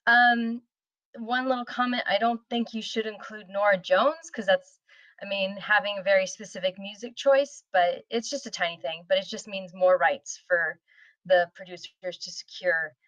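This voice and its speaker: A woman's voice: medium pitch, fairly even intonation, normal speed, dry recording. Woman giving informal feedback, dull dry audio. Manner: conversational, tentative, gently constructive. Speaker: participant giving script notes.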